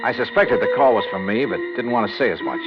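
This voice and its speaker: A tough, wry private investigator played by a male actor in a vintage radio detective show. He is clipped and confident, with a very lively delivery over music, on a muffled recording. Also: lowish voice, quick pace, close to an everyday voice. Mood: wry narration. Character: brisk, skeptical, tough male insurance investigator. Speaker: lead detective and narrator. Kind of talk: 1950s radio noir narration and dialogue.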